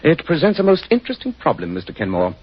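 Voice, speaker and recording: A man playing a keen, composed gentleman detective with a British accent, speaking precisely and formally in 1940s radio style. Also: a little high, huge pitch swings, quick pace, slightly rough, heavily acted. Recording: dull, muffled 1940s radio recording.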